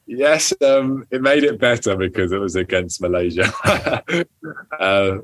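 A man's voice: fairly low pitch, animated, a little slow, a bit bright, no echo. A young man answering interview questions casually, with many restarts and ums. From England. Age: young adult. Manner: hesitant, many restarts and ums, laughs often. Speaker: footballer guest.

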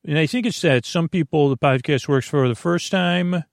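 A man hosting a bedtime podcast in a creaky, gravelly voice, rambling in a halting, meandering way and drifting into tangents mid-sentence. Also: a little high, dry recording, clean studio sound. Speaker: podcast host. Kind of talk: rambling bedtime monologue for falling asleep.

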